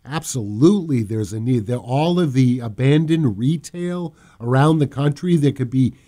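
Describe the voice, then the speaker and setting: A man from Massachusetts co-hosting a radio show, rambling casually, trailing off and often clearing his throat. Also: mid-range voice, expressive, normal speed, warm tone. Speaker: radio co-host. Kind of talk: casual talk radio banter.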